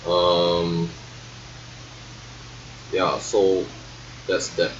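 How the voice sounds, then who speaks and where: A young man in his late teens with a Singaporean accent, rambling casually to his vlog with halting, hesitant pauses. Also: low voice, completely flat delivery. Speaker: vlogger reviewing school life. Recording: dull, lo-fi sound with faint noise.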